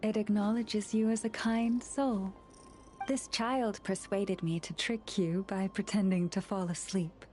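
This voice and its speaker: Over soft background music, an actress plays a kind, devout young woman of a fantasy video game. Her voice sits at a medium pitch. She speaks formally and with composure, with a light playful kindness. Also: steady tone, unhurried, lightly acted. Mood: serene, gracious. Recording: music behind the voice.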